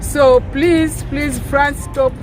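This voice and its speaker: A woman, originally from Rwanda and raised in Uganda, speaking earnestly and haltingly as a protester being interviewed outdoors. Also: high voice, fairly even intonation. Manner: earnest, pleading, halting with fillers. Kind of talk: impassioned street interview. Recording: outdoor protest with music and crowd noise. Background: music and crowd.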